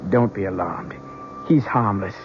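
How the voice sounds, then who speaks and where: A man playing a sly, mercenary older woman who tells fortunes, theatrical and measured, in 1970s radio drama style. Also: fairly low pitch, slight background noise, lightly acted. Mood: guarded. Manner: theatrical, measured, businesslike haggling. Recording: dull old radio recording with music.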